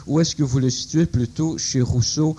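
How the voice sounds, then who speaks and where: A man's voice: medium pitch, fairly even intonation, moderate pace, mellow, a little hiss or hum. A man asking a detailed question on philosophy, hesitant and full of fillers.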